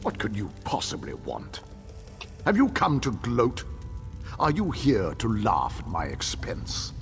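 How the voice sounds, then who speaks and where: Sneering, gravelly bigoted elf. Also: male voice, on the low side, wildly swooping pitch, a little slow, faint music behind, full character voice. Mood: bitter contempt. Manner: haughty, sneering, theatrical. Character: bitter, scornful, bigoted elf man, grieving and outraged. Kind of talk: fantasy video game voice acting.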